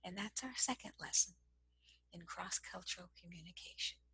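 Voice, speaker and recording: A woman with a soft voice giving a slow, measured, gentle lesson on communication as a trainer. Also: on the low side, slightly rough. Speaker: cross-cultural communication trainer. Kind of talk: instructional lesson to camera.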